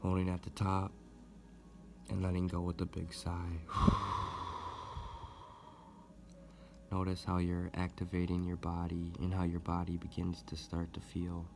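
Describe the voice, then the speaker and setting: Very deep, monotone man guiding meditation. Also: vocal fry, slight background noise. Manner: gentle, deliberate, with long pauses. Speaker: meditation guide, host. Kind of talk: guided meditation, soothing instruction.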